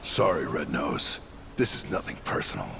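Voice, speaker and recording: An actor playing a menacing, sardonic yakuza captain who mocks and threatens in a video game cutscene. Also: male voice, very deep voice, very animated, creaky, heavy background noise, exaggerated voice. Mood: mocking. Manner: mocking, deliberate, threatening. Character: menacing, sardonic male yakuza captain, coldly toying with people.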